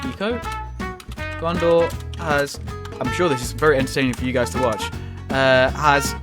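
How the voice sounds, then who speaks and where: A man with a cold commentating on a strategy game in a casual, rambling way, sounding nasal and often pausing to correct himself. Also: a little high. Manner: rambling, with frequent ums and self-corrections.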